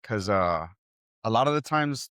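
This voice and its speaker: A young man from South Texas, a DJ guest in a barbershop, talking in a relaxed, rambling way full of "like" and "bro". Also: fairly low pitch, measured pace, no room sound, studio quality. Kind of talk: casual chat.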